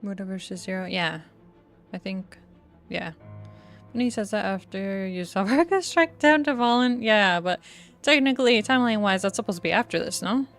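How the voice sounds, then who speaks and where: A woman's voice: medium pitch, normal speed. A woman doing casual live gaming commentary, playful and rambling, often interrupting herself. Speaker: game streamer. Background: game music.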